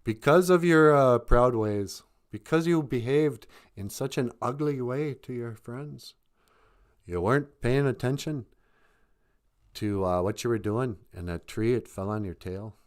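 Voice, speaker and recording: A man voicing a wise, stern male great spirit who lectures in measured tones. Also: mid-range voice, smooth, close to an everyday voice. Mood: scolding.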